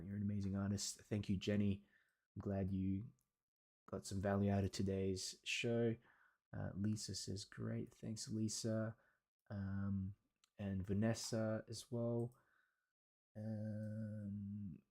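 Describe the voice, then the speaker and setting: A man teaching watercolour on a live stream, chatting casually with viewers in an encouraging way and pausing often. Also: fairly low pitch, a little mellow. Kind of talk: casual livestream chat reading and answering viewer comments.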